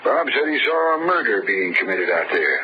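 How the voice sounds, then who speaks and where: A man's voice: lowish voice, sing-song, normal speed, background noise. A man playing an earnest, uneasy small-town sheriff, telling his tale in old-time radio horror style. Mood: steady, concerned. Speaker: actor narrating and playing the lead. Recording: muffled old radio recording, loud music behind.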